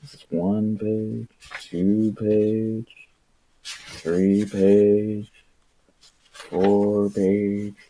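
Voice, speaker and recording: A man livestreaming a book reading in a casual, rambling, irreverent way, with a husky voice and an exasperated, sweary manner. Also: on the low side, little pitch movement, very slow, long pauses, mellow, a little hiss or hum, low-quality recording. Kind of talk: casual rambling livestream with read-aloud passages.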